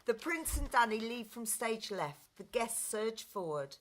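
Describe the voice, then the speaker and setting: Bright-voiced woman narrator. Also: on the high side, sing-song, unhurried, a little hiss or hum. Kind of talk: scripted narration of stage directions.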